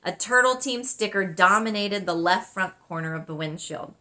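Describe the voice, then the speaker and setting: A woman narrating a children's novel aloud as its author, with expressive, scripted storytelling delivery. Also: medium pitch, moderate pace. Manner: expressive, clearly dramatized delivery.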